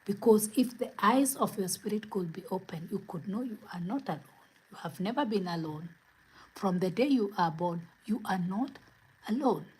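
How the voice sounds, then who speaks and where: A woman from Kenya hosting a spiritual livestream from home, speaking in gentle, repetitive affirmations. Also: medium pitch, lively intonation, moderate pace, breathy edge, low-quality recording. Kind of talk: inspirational livestream talk. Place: home, where it is late at night.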